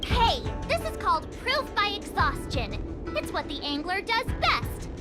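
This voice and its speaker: A bubbly young storyteller narrates playfully in a very high, bouncy voice. It is a game cutscene with music clearly underneath. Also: female voice, wildly swooping pitch, measured pace, exaggerated voice. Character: bubbly young woman narrating a made-up tale. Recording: game audio over music.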